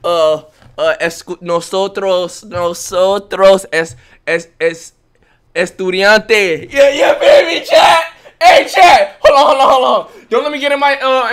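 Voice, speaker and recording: A man live-streaming his reactions with loud, jokey slang and frequent laughter. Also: high-pitched. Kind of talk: casual live-stream commentary.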